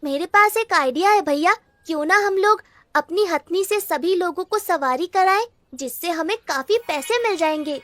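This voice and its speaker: Very high-pitched eager little girl. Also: moderate pace, a bit bright, no room sound. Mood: eager, earnest. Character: eager, sweet little village girl, the younger sister. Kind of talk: children's cartoon story voice acting.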